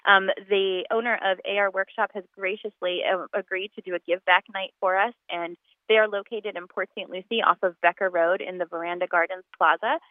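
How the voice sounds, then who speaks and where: A woman's voice: medium pitch, steady tone, a little fast, no room sound. A woman born in Poland, a guest talking casually about fundraiser events, chatty with many ums and restarts. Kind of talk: casual radio interview by phone. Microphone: phone line. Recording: tinny phone line.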